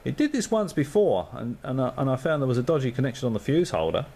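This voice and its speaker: A man's voice: mid-range voice, sing-song, fast talker, dark tone. A man on amateur radio, chatting casually and thinking aloud with lots of fillers. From Australia.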